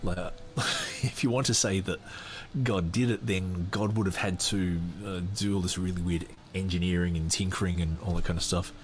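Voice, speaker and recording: A man hosting a podcast, reading a book aloud and breaking off to comment, animated, laughing often, with lots of fillers and restarts. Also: low-pitched, normal speed, slight background noise. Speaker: podcast host.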